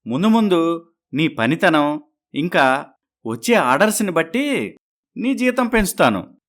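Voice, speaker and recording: A man's voice: fairly high pitch, very animated, a little slow, a bit bright. An actor voicing an ordinary man in a dramatised moral story. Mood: businesslike. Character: men around the heroine: doctor, boutique owner, meek husband.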